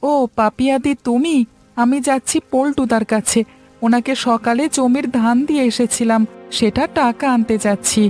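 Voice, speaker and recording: A woman's voice: fairly high pitch, steady tone, normal speed, darkish tone, faint music behind, lightly acted. A woman voicing an earnest, polite and hardworking poor village boy in a cartoon story.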